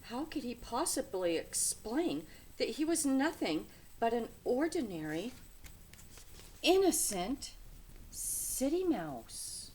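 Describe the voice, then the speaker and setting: A woman reading a children's picture book aloud with dramatic, deliberate phrasing, speaking to young listeners. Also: a little high. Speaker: read-aloud storyteller. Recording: steady background noise.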